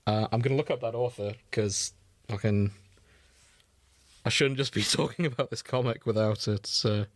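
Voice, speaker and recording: A man's voice: fairly low pitch, moderate pace, no room sound. A man co-hosting a podcast in casual chat, wandering off on tangents as he talks. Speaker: podcast co-host.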